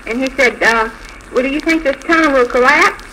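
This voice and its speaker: Female 1940s radio guest, American, high bright voice, halting anecdotal delivery, slightly noisy vintage recording. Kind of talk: live 1940s radio interview, telling anecdotes.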